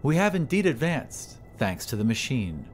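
A man's voice: medium pitch, big pitch swings, normal speed, a little hiss or hum, close to an everyday voice. A man voicing a polite, talkative airship attendant woman who is eager to please in an audio drama. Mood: reverent.

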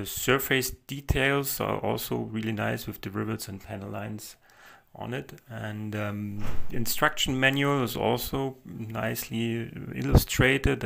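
A man narrating a model helicopter build in a relaxed voice-over, pausing often with fillers. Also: fairly low pitch, gravelly, slight background noise. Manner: hesitant, many fillers, soft-spoken. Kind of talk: casual build walkthrough voice-over.

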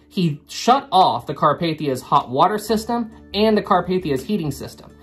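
Young man with a slightly rough voice. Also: fairly high pitch, fairly bright tone. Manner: earnest, repeats names often. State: slightly rough voice from recovering illness. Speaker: history channel host. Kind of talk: casual history storytelling to camera. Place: small home room with wood paneling and ship models.

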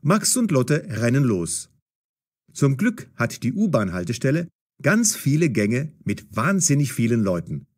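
Husky, expressive male storyteller. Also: mid-range voice, unhurried. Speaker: narrator. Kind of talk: scripted audio story narration.

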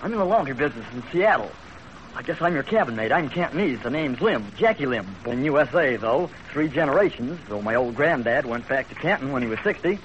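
A man acts a brash Chinese-American laundryman, eager and talkative, in 1930s radio drama style. His medium-pitched voice runs on informally. The vintage recording is dull with faint noise. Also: expressive, a little fast, natural voice.

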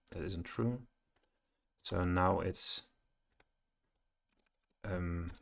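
Low-pitched man thinking aloud while coding. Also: creaky. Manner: halting, muttering to himself. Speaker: programmer presenting a coding screencast. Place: small room indoors at home, at a computer. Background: keyboard typing and clicking.

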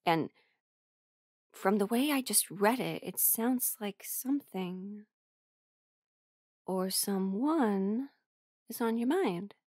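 A woman playing a friendly, teasing young musician in an audio roleplay, speaking in a casual, halting, intimate way. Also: mid-range voice, lively intonation, darkish tone, dry recording, clean studio sound. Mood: thoughtful, probing. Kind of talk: intimate conversational roleplay acting.

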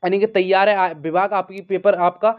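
A man's voice: sits high, steady tone, normal speed. A man giving an informal update to students as a channel host, often repeating stock phrases. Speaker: host of an exam news channel. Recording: thin, phone-like band-limited sound.

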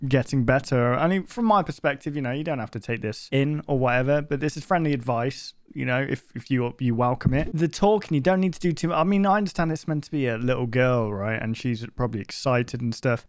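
A man's voice: mid-range voice, animated, brisk, hardly any pauses, darkish tone, dry recording, clean studio sound. Young man reacting casually. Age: 20s. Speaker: reaction video host. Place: bedroom streaming setup indoors. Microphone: close desk microphone.

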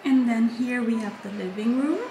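A woman's voice: fairly high pitch, moderate pace. A young woman casually telling viewers about her trip and showing them around, recorded on a handheld phone. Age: young adult. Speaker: travel vlogger. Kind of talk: casual travel vlog. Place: outdoors at a cafe and indoors in a rented apartment. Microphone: handheld phone camera. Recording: echoey, with loud background noise.

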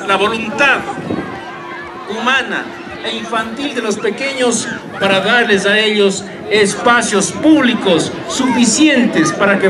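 A middle-aged man giving a mayor's public speech outdoors on a handheld microphone. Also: sits high. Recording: outdoor speech, crowd behind.

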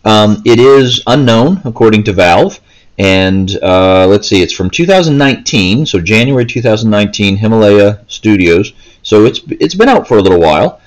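Man, low voice, casual game walkthrough, unscripted, hesitant, trailing thoughts. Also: animated, normal speed. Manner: hesitant, rambling, often stops mid-thought. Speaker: gaming video host. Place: indoors, seated, handheld console in hands.

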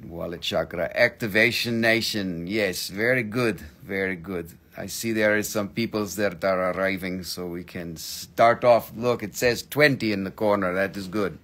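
A man's voice: low-pitched, normal speed, hardly any pauses, a bit bright, voice pushed down. A man voicing a prim, over-formal foreign host, speaking in stilted phrases. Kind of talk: live-stream sales banter.

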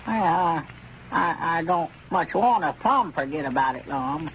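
An actor playing a folksy, sheepish small-town storekeeper in a hesitant rural Southern drawl, in old radio comedy style. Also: male voice, on the high side, lively intonation, a little slow, fairly bright tone. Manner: hesitant, stammering, chuckling country talk. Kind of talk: 1940s radio comedy acting. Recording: dull, noisy old radio recording.

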